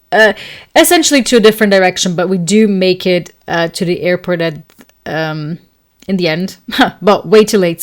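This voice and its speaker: Chatty woman, Serbo-Croatian native speaker. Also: mid-range voice. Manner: chatty, many fillers and restarts, laughs often. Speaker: astrology podcast host. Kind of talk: casual storytelling monologue.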